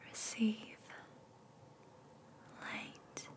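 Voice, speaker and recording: A woman whispering a guided ASMR meditation in a breathy, soothing way, with short repeated phrases and long pauses. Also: darkish tone.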